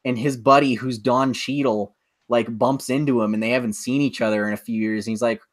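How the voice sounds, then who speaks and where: A man talks casually as a podcast co-host. He rants in a loose, sweary way, piling up filler words, at an ordinary middle pitch. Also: steady tone, a little fast. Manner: ranting, sweary, filler-heavy. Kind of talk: casual chat.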